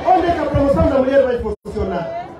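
Angolan guy doing a fiery political speech, really high strained pitch, echoey pa with crowd around. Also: animated, brisk. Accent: Angolan Portuguese. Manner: impassioned, declamatory, repeats rhetorical questions to the crowd. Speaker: political party secretary addressing a rally. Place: open-sided outdoor rally stand under a canopy. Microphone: cluster of press microphones and PA. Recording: echoing PA, distant, noisy crowd. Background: rally crowd.

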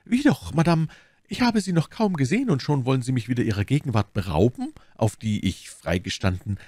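A man's voice: mid-range voice, lively intonation, a little fast, darkish tone, dry recording, studio quality, pitched above the performer's own voice, close to an everyday voice. A man voicing a scheming noblewoman who feigns innocence in an audiobook, with polished, formal, artfully naive speech. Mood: coaxing. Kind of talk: audiobook character dialogue.

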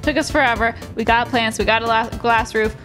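A young woman chatting casually and excitably as she co-hosts a gameplay stream, close on the mic. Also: on the high side, fairly quick. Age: young adult. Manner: excitable, exclamatory. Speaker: gaming channel host. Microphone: large microphone on boom arm, close. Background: game music.

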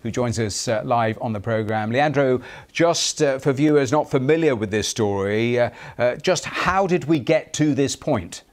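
A man's voice: mid-range voice, a little slow. A middle-aged man presenting live television news from a studio.

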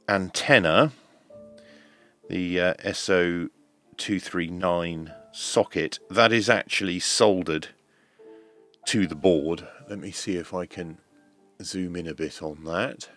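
A man presenting a hands-on electronics tutorial, explaining step by step in a measured way over background music. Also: low voice, a bit bright. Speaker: host of an electronics teardown channel.

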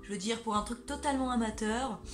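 A woman's voice: fairly high pitch, fairly quick. A woman in her twenties chatting casually to camera, talkative and self-deprecating. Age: 20s. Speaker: video creator. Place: small furnished bedroom indoors. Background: faint background music.

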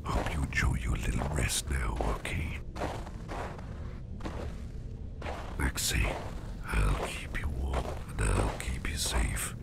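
A man playing a caring, slyly warm boss in an ASMR roleplay, talking in a gravelly half-whisper with a rambling, folksy, reassuring manner. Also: a bass voice, unhurried, full character voice. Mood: tender, reassuring. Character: caring, gruff-voiced boss, a man with sly, soothing warmth. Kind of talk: soft ASMR roleplay, addressing the listener.

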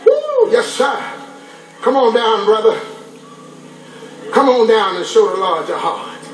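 Very high-pitched theatrical preacher. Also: male voice, wildly swooping pitch, slow, far from the mic, heavily acted. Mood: exhorting, jubilant. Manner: exaggerated sing-song church exhortation. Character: theatrical, slippery male preacher calling out to his congregation. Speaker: stage actor. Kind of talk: gospel-preacher stage play acting. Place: theatre stage, replayed on a television. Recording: TV playback filmed from across room, echoey, music.

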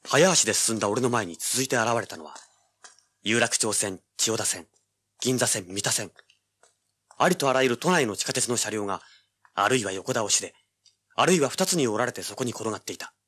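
An actor playing a cocky, tough young treasure hunter, rough-spoken and sardonic, narrating and speaking in a hard-boiled audio drama. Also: male voice, on the low side, lively intonation, a little fast, long pauses, fairly bright tone. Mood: tense, cool. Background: dramatic music.

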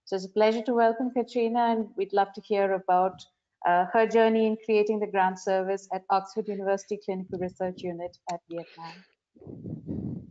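A woman's voice: mid-range voice, level tone, normal speed, dark tone. A woman moderating a webinar, politely thanking one speaker and introducing the next. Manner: polite, welcoming. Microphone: video call. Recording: slightly dull video call audio.

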